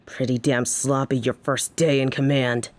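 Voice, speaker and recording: A woman's voice: very deep voice, level tone, moderate pace, a little mellow, no echo. A woman playing a tough, protective mafia boss in an audio drama, formal and menacing in manner. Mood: angry, defiant.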